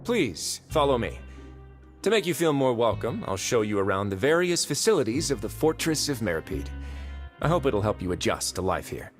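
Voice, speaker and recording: An actor playing a calm, courteous young duke who runs a prison, speaking with polished, gently wry politeness in video game dialogue. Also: male voice, mid-range voice, moderate pace, faint music behind, lightly acted.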